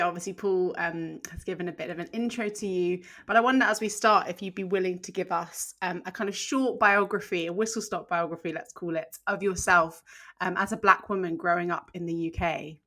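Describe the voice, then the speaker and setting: A woman co-hosting a podcast, chatting with her co-host and reading a measured introduction. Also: fairly low pitch, fairly bright tone.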